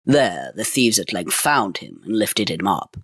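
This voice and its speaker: A man's voice: fairly low pitch, sing-song, measured pace, a bit bright, husky, no echo, studio quality. A man reading a fairy tale aloud in a dramatic, old-fashioned storytelling manner.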